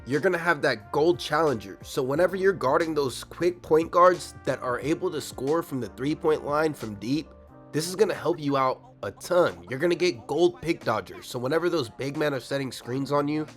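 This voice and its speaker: A young man with a slightly raspy voice from a cold, casually breaking down a video game build for his viewers. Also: a little high, expressive. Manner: fast, casual, addresses viewers directly. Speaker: gaming YouTuber. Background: faint background music.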